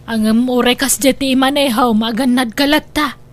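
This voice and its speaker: A woman's voice: high-pitched, steady tone, moderate pace, close to an everyday voice. A woman with an Ilocano accent playing a fretful, fussing grown daughter in an amateur comedy drama. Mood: scolding. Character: fretful, scolding grown daughter of old father.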